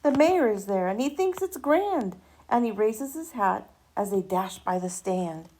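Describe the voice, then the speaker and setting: A woman reading a rhyming children's picture book aloud with animated, dramatic emphasis and drawn-out words. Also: mid-range voice, rough recording.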